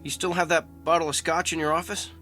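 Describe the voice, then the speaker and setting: Brusque, sharp-voiced troubled executive. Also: male voice, a little high, flat delivery, fast talker, faint music behind. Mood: bitter, resigned. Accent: American. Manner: terse, probing, sardonic. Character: troubled, brusque male office executive with bitter irony. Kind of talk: naturalistic dramatic acting.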